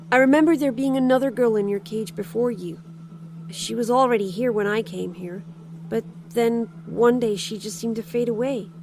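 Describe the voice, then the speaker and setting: A woman's voice: a little high, a little mellow, a little hiss or hum, natural voice. A woman voicing a dazed, innocent captive child, hesitant and puzzled, in an audio drama. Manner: hesitant, halting.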